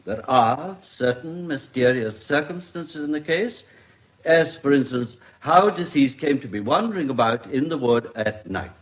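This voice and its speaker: Formal British coroner, animated pitch man acting. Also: lightly acted, poor audio. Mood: summing up. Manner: measured, official, formal diction. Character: formal, courteous English coroner presiding over an inquest. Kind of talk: radio drama acting.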